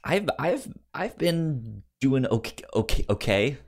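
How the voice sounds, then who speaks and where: A man's voice: mid-range voice, big pitch swings, slow pace. A man hosting a podcast in casual chat about movies, often stammering and repeating words as he gets into a sentence. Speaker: podcast host.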